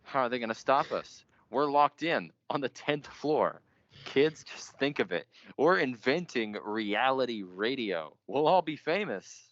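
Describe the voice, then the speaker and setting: A young man playing a grandiose, obsessive radio announcer, theatrical and boastful in a high school radio play. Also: animated, measured pace, fairly bright tone. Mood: fervent, grandiose. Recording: dull lo-fi recording.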